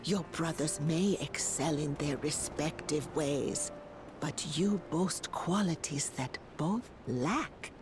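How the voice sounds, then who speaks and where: A woman's voice: sits low, slow, over music. A woman voicing a devoted elderly nursemaid in a fantasy video game, motherly, formal and tender. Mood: tender, reassuring.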